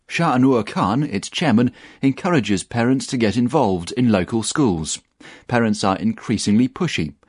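Man, news narrator, low voice, husky, dry close sound, measured reading. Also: darkish tone, dry recording. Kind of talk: scripted narration.

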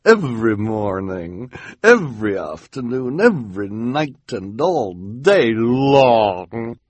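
Storybook villainess played by a man: vain, glamorous, affected, stretching words out slowly, lifted pitch with a husky edge. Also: dry recording. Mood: gushing, syrupy. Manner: drawn-out, affected, flattering delivery. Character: vain, gushing villainous woman in glamorous dress.

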